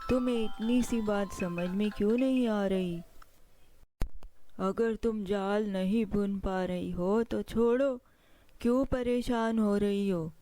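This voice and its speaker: Man playing a slow-spoken, earnest cartoon king. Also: sits high, steady tone, mellow, dry recording, faint music behind. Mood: discouraging, puzzled. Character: defeated king, despondent then humbled and resolved. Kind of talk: children's cartoon character voice.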